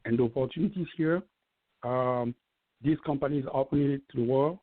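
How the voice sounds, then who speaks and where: A man's voice: mid-range voice, fairly quick, no echo. Man, fifties, persuasive, dry close sound, phone-line audio. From Cameroon. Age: 50s. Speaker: guest speaker. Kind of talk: testimonial pitch on a call. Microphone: call-in line. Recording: tinny phone-like call audio.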